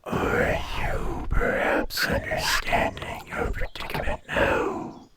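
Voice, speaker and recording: A man playing an ancient, proud dragon in humanlike form in an intimate audio roleplay, speaking to the listener with haughty, teasing condescension and drawn-out phrasing. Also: very noisy, lightly acted. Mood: mocking, probing.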